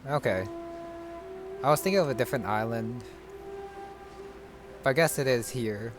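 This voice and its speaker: A man giving casual, low-key game commentary, thinking aloud as he plays. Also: moderate pace, background noise. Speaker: gamer playing through a game. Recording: game music behind.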